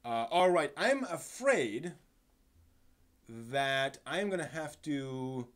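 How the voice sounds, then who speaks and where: A man hosting a casual live stream, speaking in a halting, rambling way and stumbling over his words, sounding somewhat tired. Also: fairly high pitch, animated, fairly bright tone. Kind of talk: casual live stream chat, answering chat questions.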